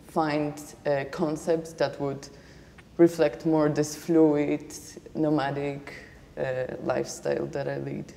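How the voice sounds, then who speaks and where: Low, dark-voiced woman in a hall. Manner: measured, reflective, with frequent fillers. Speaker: panel guest. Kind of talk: panel discussion. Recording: long hall reverb, faint noise.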